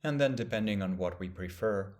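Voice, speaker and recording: A man teaching a guided meditation, speaking gently and in measured phrases with long pauses. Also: fairly low pitch.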